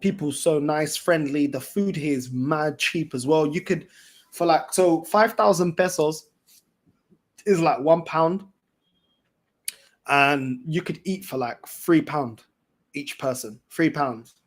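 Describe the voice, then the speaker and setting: A man's voice: fairly high pitch, slow pace. A man with a London accent chatting casually as a livestream host, in a slangy, repetitive, emphatic way. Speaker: fan channel host.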